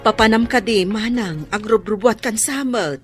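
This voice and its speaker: A woman's voice: fairly high pitch, moderate pace, faint music behind, lightly acted. A woman with an Ilocano accent playing a chatty, friendly village neighbour in a radio drama. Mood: friendly, curious.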